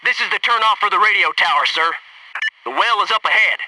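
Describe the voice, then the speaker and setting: A man's voice: sits high, animated, fairly quick, distant mic, background noise. An actor playing a cocky, wisecracking male Navy SEAL squadmate in a military video game, talking in brash, punchy banter. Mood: focused. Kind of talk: scripted video game squad radio lines.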